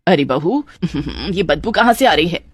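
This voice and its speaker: Cartoon mother-in-law, played by a female narrator: older, bossy, demanding, quick to scold. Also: low-pitched, expressive, slightly rough, dry recording, full character voice. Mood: suspicious.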